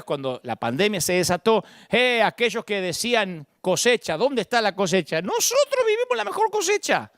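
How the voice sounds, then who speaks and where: A middle-aged Argentine pastor with a porteño accent delivers an emphatic sermon. His fairly high voice leaps through a very lively pitch range. The sound is dry and close, with no room echo. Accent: Argentine Spanish, Buenos Aires. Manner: expressive preaching, swings between high emphatic peaks and low asides.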